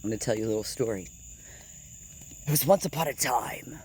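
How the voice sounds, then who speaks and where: A deep-voiced woman in her thirties telling a rambling, digressive story while walking outdoors, swearing casually and sometimes dropping to a whisper. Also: a little fast, a little mellow, breathy edge. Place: outdoors on grass at night with insects.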